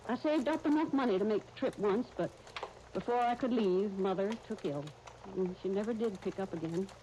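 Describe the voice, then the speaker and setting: A blunt, wry spinster dressmaker acted by a woman in a vintage radio soap opera. She is proud and opinionated but kind-hearted, with a dark, mellow mid-pitched voice on a faintly noisy 1930s recording. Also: a little fast, close to an everyday voice. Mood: wistful, wry.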